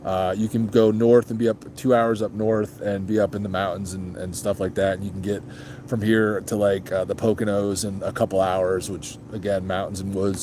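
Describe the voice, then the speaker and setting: Chatty man with a low voice. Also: a little mellow, slight background noise. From grew up moving around as an Army child. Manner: rambling, restarts and ums, answers chat questions. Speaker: motorcycle vlog host reading live chat. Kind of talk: casual chat with viewers.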